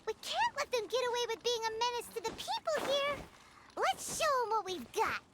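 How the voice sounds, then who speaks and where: A woman voicing a tiny, excitable female fairy companion who chatters and exclaims. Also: extremely high pitch, brisk. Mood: alarmed, rallying. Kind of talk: video game voice acting. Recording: game audio with music behind.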